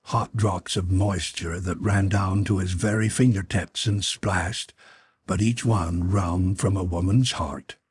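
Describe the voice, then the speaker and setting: A man narrating a classic adventure novel as an audiobook, in a measured, dramatic storytelling manner. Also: sits low, fairly even intonation, slight background noise.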